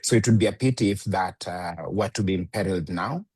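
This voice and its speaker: Deep, husky man giving a formal statement. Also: a little mellow, no echo, studio quality. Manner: measured, formal, many filler pauses. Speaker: lawyers' union speaker. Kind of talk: formal press conference statement.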